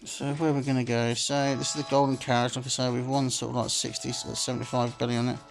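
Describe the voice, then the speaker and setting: A man's voice: mid-range voice, level tone, quick pace, gravelly, rough recording. A man casually commenting while playing a slot game, rambling and filling gaps with "sort of" and "like I say", sounding a bit phlegmy. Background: game music.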